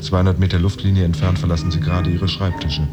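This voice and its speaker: An actor playing a brooding jobless man in an introspective radio drama monologue, measured and dry, often sinking towards a whisper. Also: low voice, little pitch movement, over loud music, natural voice. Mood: anxious, wry. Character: brooding man who lost his job, pretending to work.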